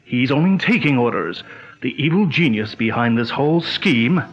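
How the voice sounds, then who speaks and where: A man plays a steady adventurer hero who leads with calm, measured authority and explains things clearly. His voice has a mid pitch and a dull, lo-fi old-radio sound. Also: faint music behind, close to an everyday voice. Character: steady male adventurer hero, commanding leader. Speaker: expedition leader. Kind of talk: 1940s radio drama acting.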